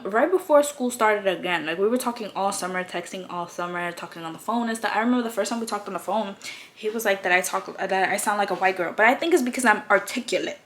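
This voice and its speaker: A woman's voice: mid-range voice, slight background noise. A young woman vlogging while she does her makeup, telling a candid story in a chatty way, with lots of fillers and swearing, in a slightly babyish voice. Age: young adult. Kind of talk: casual get-ready-with-me storytime. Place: home, doing makeup.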